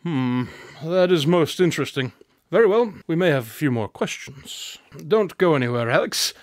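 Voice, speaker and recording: Aloof, witty radio-drama detective played by a man, formal and precise, higher register, close dry sound. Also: lively intonation, moderate pace, no echo, natural voice. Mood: cool, commanding. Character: witty, aloof, analytical male detective, formal and dryly teasing.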